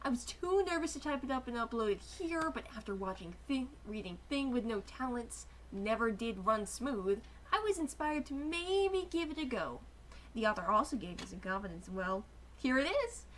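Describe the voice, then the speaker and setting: A woman's voice: fairly high pitch, big pitch swings, normal speed. A young woman reading fan fiction aloud as narrator, in an informal, amateur dramatic style. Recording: amateur close-mic recording with background noise.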